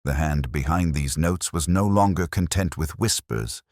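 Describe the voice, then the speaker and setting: A middle-aged man narrating a mystery story in a measured, literary, suspenseful way. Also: very deep voice, a little mellow, dry recording, studio quality. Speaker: audiobook narrator. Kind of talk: scripted dramatic mystery narration.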